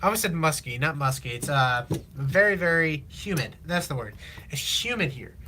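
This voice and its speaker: A teenage man from Illinois, chatting casually on a live stream while he builds, rambling with lots of fillers, his voice slightly raspy from long talking. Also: on the high side, expressive, pushed and bright, slight background noise. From Illinois, USA. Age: teens. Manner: rambling, halting, many fillers and restarts. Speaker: livestreamer.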